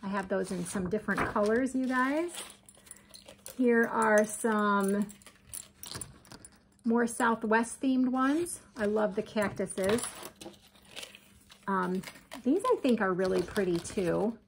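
Slow-talking woman with a gravelly voice. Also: medium pitch, a little hiss or hum. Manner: chatty, often addresses "you guys", upbeat. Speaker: crochet vlogger. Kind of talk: casual show-and-tell chat. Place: home kitchen, indoors.

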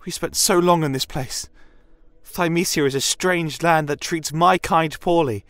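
A man voicing an anxious, grateful female satyr mother in a fantasy audio story, earnest and halting. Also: a little high, fairly bright tone, no echo, pitched above the performer's own voice. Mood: worried.

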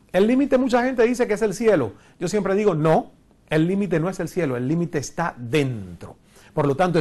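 Cuban guy teaching a course in a studio, kinda high voice, earnest, lots of rhetorical questions. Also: darkish tone. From Cuba. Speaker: course instructor. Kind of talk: recorded online lecture. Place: studio with large screen.

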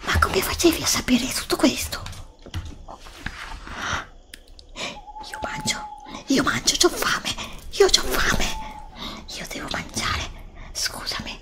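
Woman doing scared tired girl lost in the woods, asmr roleplay, whispery n slow, plaintive, doesnt trust anyone. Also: high-pitched, huge pitch swings, voice pushed up, exaggerated voice. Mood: amazed, hungry. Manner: whispered, breathy, plaintive, hesitant with repeated phrases. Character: frightened, weary, hungry chosen heroine lost in a forest, distrustful. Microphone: close desktop USB microphone.

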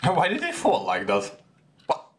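A man's voice: on the high side, wildly swooping pitch, unhurried, a little hiss or hum. A young man giving casual, reactive commentary on a horror game, exclaiming and repeating short phrases, recorded in a room at home. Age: young adult. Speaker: gaming video creator playing a horror game. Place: small room at home, indoors.

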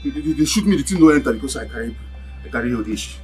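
A man's voice: mid-range voice, animated, rapid-fire, a little mellow, lightly acted. A man with a Nigerian accent acting in a film drama as a rattled fugitive, urgent and stammering in Pidgin. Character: rattled man on the run from armed boys. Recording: faint music underneath.

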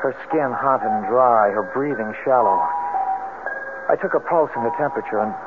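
A man's voice: mid-range voice, moderate pace, over loud music, close to an everyday voice. An actor playing an anxious, earnest man with an American accent, confessing a grim tale in a 1970s radio drama. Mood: grim, confessional. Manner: earnest, troubled. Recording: tinny radio recording, loud background noise.

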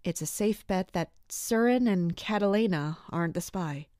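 An actress playing a dutiful, guarded mercenary captain, narrating in a measured, serious way in an audio drama. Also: female voice, on the low side, darkish tone, dry recording, studio quality, natural voice. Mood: suspicious, guarded. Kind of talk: scripted first-person audio drama monologue.

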